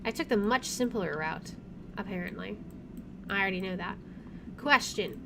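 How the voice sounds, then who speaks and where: A woman's voice: medium pitch, expressive, moderate pace, background noise. A woman gaming streamer reading visual novel narration aloud and adding casual asides.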